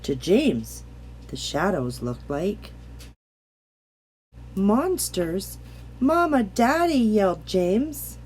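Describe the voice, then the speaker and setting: A woman reading a children's picture book aloud, gently and deliberately, with dramatic pauses. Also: on the low side, very animated, a little hiss or hum. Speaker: storybook reader. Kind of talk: expressive children's bedtime read-aloud.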